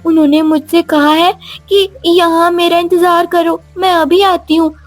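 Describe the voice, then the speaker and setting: A woman voicing an innocent, timid young girl who speaks in an earnest, pleading way in an animated children's story. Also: very high-pitched, unhurried. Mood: earnest, worried.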